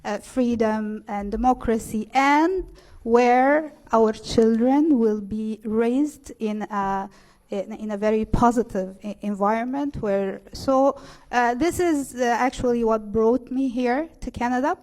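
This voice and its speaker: A middle-aged woman with an Egyptian accent gives testimony at a hearing. Her voice is fairly high, and she speaks hesitantly, with halting phrases. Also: darkish tone. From Cairo, Egypt. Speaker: witness at a hearing.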